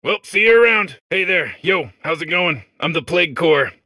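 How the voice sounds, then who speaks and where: Eager filthy robot, high-pitched, very bright and forceful, folksy, unashamed, comedic game character. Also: male voice, animated, normal speed, no room sound, exaggerated voice. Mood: chatty, apologetic. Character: cheerful male talking robot core full of sewage and plague.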